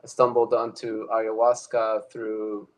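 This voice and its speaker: A man's voice: on the low side, completely flat delivery, slow. A young man from Ecuador, a guest telling his personal story in a casual conversation, thoughtful and full of fillers and restarts. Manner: reflective, many fillers and restarts.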